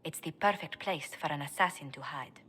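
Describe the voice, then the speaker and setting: An actress voicing a poised, formal court diplomat in a fantasy game, speaking in a measured way. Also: female voice, low voice, bright, forceful tone, slight background noise. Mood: grave. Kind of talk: video game dialogue acting.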